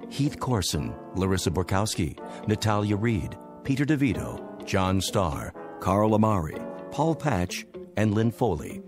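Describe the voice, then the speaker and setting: A man reading scripted closing credits as a radio announcer. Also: lowish voice.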